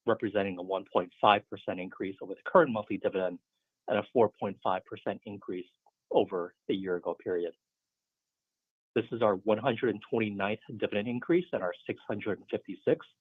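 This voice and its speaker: A man presenting as a finance executive, reading a formal scripted financial report in a steady, businesslike way. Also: on the low side, breathy edge, dry recording. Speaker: finance executive on an earnings call. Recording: muffled narrow phone conference line.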